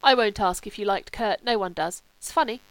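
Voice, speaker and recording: A woman's voice: medium pitch, fairly quick, no room sound, lightly acted. A woman voicing a frail, languid young upper-class Englishman in an audiobook, with a wistful manner. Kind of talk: audiobook character reading.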